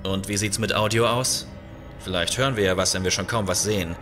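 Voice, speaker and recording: Man as starship captain for sci-fi radio play: low voice, decisive, matter-of-fact, music underneath. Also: steady tone, fairly quick, lightly acted. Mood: focused concern. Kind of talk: audio drama acting in a sci-fi thriller.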